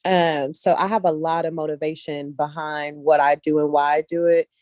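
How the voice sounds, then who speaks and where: A woman's voice: low voice, flat delivery, normal speed, darkish tone, no room sound, clean studio sound. A woman speaking casually and earnestly as an interview guest, often repeating phrases for emphasis. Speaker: guest casting director, actress and model.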